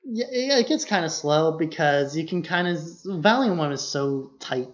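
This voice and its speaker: A man's voice: a little high, expressive, moderate pace, muffled recording. A man co-hosting a podcast, chatting casually about films with informal, loosely phrased opinions. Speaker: podcast co-host. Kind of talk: casual film chat.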